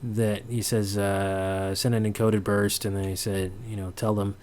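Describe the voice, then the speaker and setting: A man giving an informal sound design tutorial, halting as he thinks aloud. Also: on the low side, level tone, darkish tone.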